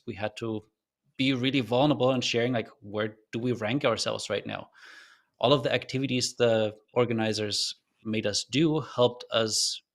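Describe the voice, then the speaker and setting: A man's voice: medium pitch, fairly bright tone. Earnest man with a faint German accent. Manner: thoughtful, earnest, loose with fillers and restarts. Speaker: podcast guest. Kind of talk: reflective storytelling in an interview.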